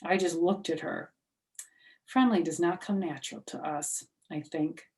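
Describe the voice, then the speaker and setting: A woman's voice: on the low side, unhurried, darkish tone. A middle-aged or older woman reading a children's book aloud expressively, with chatty asides to young listeners. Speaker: storyteller.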